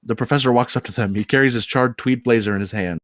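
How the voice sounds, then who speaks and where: Male narrator for a script table read, mid-pitched and quick, reading stage action, dry recording. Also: no room sound. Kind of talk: reading screenplay action aloud.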